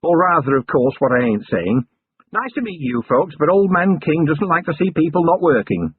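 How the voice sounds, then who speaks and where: A man's voice: a little high, very animated, normal speed, a little hiss or hum, voice pushed up. A man voicing a cheeky, streetwise young male goblin who talks in a slangy, offhand way. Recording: tinny phone-like sound.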